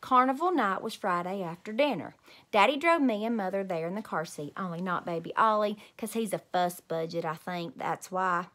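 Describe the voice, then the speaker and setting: A woman in her thirties reading a children's story aloud in an animated, emphatic way. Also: mid-range voice, normal speed. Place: small room at home.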